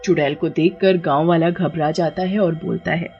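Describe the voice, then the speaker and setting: A woman's voice: deep voice, steady tone, moderate pace, breathy edge, lo-fi sound, faint music behind. A woman storyteller reading scripted narration for a tale.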